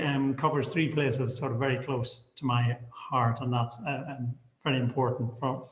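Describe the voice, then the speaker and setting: A middle-aged man with a Northern Irish accent, an architect, introducing himself in a friendly, plain-spoken way on a webinar over a video call. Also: mid-range voice. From Northern Ireland. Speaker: architect and board member. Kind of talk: online webinar talk. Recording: roomy echoey video call audio.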